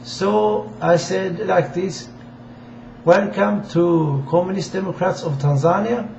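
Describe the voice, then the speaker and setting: A man from Sweden with a Swedish accent gives an informal political address in halting, deliberate non-native English. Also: high-pitched, vocal fry, darkish tone. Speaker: amateur political campaigner addressing viewers. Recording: dull, echoey room with faint hiss.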